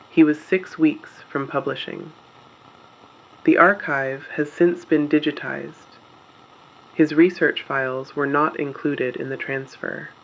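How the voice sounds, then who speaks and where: A man narrating a scripted dramatic radio broadcast in a measured, solemn manner. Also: a little high, poor audio.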